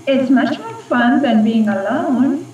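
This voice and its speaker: A woman's voice: high-pitched, expressive, slow pace, a little mellow. A woman playing a seductive, scheming Russian agent ghost in a radio play, with a Russian accent and a purring, taunting delivery. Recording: dull, echoey, distant, slightly noisy recording.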